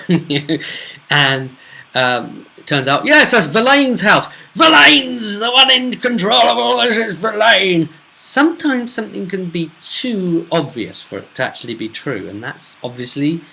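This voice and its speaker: A man's voice: mid-range voice, sharp tone, poor audio. A man casually recapping a tabletop role-playing campaign as game master, chatty, with emphatic asides. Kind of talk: casual solo recap narration.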